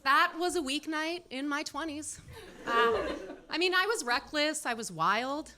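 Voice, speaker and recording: A woman's voice: high voice, animated, measured pace, pushed and bright, slight background noise. A woman in her late thirties performing a stand-up set at a comedy club, delivering dry, self-deprecating punchlines. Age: 30s. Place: comedy club stage with live audience.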